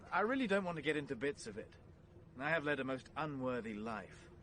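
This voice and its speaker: An actor playing an anxious, well-bred British gentleman on the run in a vintage radio drama, plummy, earnest and flustered. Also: mid-range voice, very animated, a little fast. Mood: apologetic. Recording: noisy vintage radio play recording.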